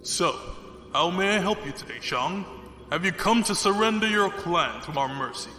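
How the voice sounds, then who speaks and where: A man's voice: high voice, animated, moderate pace, sharp tone. A man playing a courtly, condescending, ruthless fleet commodore villain in an audio drama, speaking formally. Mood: smug, courtly. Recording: echoey hall reverb, music behind.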